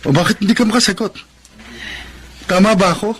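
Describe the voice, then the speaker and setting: A man's voice: high-pitched, brisk, fairly bright tone, breathy edge. A Filipino man playing a jealous, hot-headed poor young man in love in a radio drama, emotional and accusatory. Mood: jealous, accusing.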